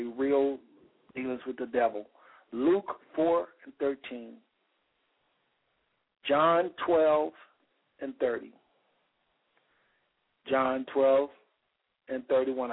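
A man with a slightly raspy, mid-pitched voice preaches with fervor on a call-in radio show. He repeats phrases for emphasis and reads scripture aloud. The sound is thin and phone-like. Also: steady tone, takes it slowly, long pauses. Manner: fervent, rhetorical repetition, reads scripture aloud.

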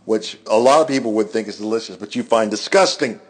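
A man's voice: lowish voice, quick pace. A man co-hosting a comedy podcast, setting up a bit in casual chat with laughter. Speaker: podcast co-host.

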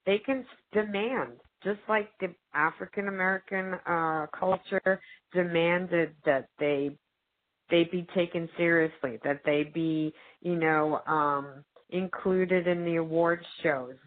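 A woman's voice: lowish voice, steady tone, a little slow. A woman actress as a guest in a casual discussion, talking earnestly and emphatically with many fillers. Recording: narrow phone-like audio.

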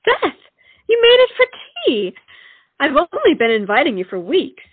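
A woman's voice: high-pitched, wildly swooping pitch, normal speed, narrow, tinny audio. An actress playing a genteel, proper and coy young colonial lady in a stage comedy. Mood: teasing, chatty.

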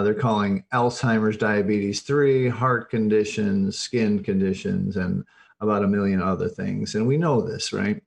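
A middle-aged or older man, an interview guest, explains informally and emphatically, often stopping and repeating words. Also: lowish voice.

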